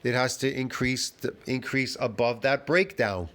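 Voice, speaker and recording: A man's voice: mid-range voice, measured pace, fairly bright tone. A man giving a ranting fitness commentary, emphatic and repetitive, full of restarts and rhetorical questions.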